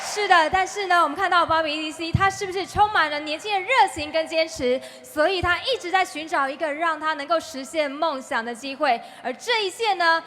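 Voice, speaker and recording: Very high bright-voiced woman emceeing a convention. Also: faint music behind. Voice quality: upbeat, drawn-out call-and-response to the crowd. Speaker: event emcee. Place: large convention hall.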